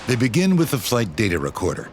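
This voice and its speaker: A man's voice: medium pitch, wildly swooping pitch, quick pace, slight background noise. A man reading scripted, dramatic documentary narration as the narrator. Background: dramatic music bed.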